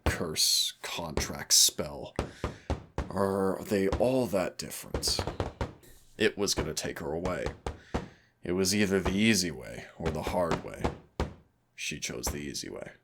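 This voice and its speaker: A man playing a centuries-old demon hunter, teasing and rebellious yet wistful and protective, in an intimate audio roleplay with a gravelly voice. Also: expressive, slow pace, pauses often, noisy background, exaggerated voice. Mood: somber, consoling. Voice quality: very low, gravelly creaky voice. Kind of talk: intimate second-person audio roleplay acting.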